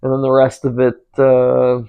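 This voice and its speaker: A man in his forties with a gravelly, creaky voice at an ordinary middle pitch. He talks loosely while he works at the stove, drifting between thoughts and filling gaps with ums and uhs. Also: measured pace, narrow, tinny audio. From United States. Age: 40s. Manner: rambling, relaxed, many ums and uhs. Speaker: cooking channel host. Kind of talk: casual talk while cooking.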